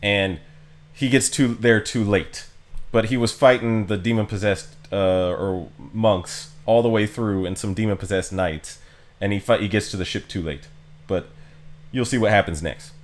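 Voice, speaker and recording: A man's voice: fairly low pitch, moderate pace. A man giving casual live commentary as a game streamer.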